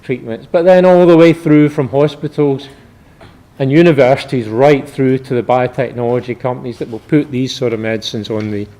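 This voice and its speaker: A man, a medical researcher, giving a public academic lecture in a measured, informal explaining manner. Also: mid-range voice, dark tone.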